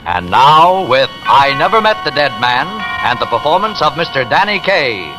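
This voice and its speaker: A man's voice: fairly high pitch, very animated, moderate pace, low-quality recording. Dramatic male radio announcer over music. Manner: dramatic, portentous. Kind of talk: formal 1950s radio announcing. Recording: vintage radio recording with music underneath.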